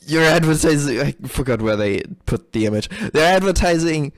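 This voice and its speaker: A man's voice: a little high, animated, moderate pace, dry recording. A man giving casual, unscripted live commentary as a game developer, rambling, sweary and self-deprecating, and often giggly. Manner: giggly, often breaking into laughter. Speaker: game developer recording a reaction video.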